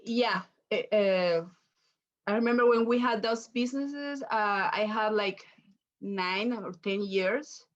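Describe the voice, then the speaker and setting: Salvadoran lady on a video call from her kitchen, slow and halting, keeps repeating words, kinda muffled sound. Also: medium pitch. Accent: Salvadoran Spanish. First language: Spanish. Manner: halting, repeats words while searching for English. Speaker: guest chef. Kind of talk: interview answers. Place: home kitchen.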